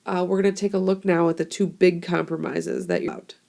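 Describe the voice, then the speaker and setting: A young woman teacher sounds hoarse from a cold, and her voice has a gravelly, crackly edge that sits a little low. She explains in a casual, chatty way, with plenty of fillers, and she records into a tablet microphone. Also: flat delivery, fairly quick, mellow. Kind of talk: classroom screencast lesson.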